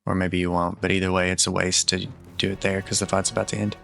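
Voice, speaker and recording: A man giving casual, matter-of-fact video game walkthrough commentary in a low-key instructional way. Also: flat delivery, fast talker, gravelly, sharp tone. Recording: voice over game music. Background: video game music.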